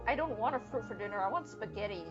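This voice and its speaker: A woman's voice: mid-range voice, expressive, fast. A young woman giving casual, joking game commentary as a streamer, on a slightly dull lo-fi microphone. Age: young adult. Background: game music.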